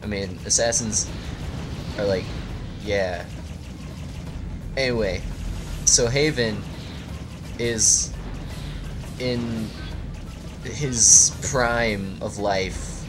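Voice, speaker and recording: A man improvising a comedic story in a rambling, profane, tongue-in-cheek way as a let's play narrator. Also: lowish voice. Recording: music behind the voice. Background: music.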